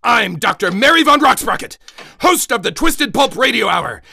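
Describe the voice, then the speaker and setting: A man's voice: very high-pitched, sing-song, normal speed, gravelly, pushed and bright, exaggerated voice. A man voicing a zany female mad doctor who hosts a radio show, theatrical and exaggerated, in a campy comedy ad. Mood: gleeful, manic.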